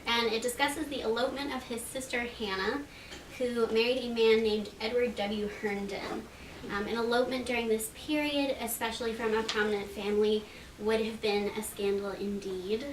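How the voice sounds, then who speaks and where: A woman giving an informal, slightly halting introduction with historical background at a live event. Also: fairly high pitch, steady tone. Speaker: presenter introducing readers at a library event. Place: library reading room at a public event. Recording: echoey room, distant mic, clear background noise.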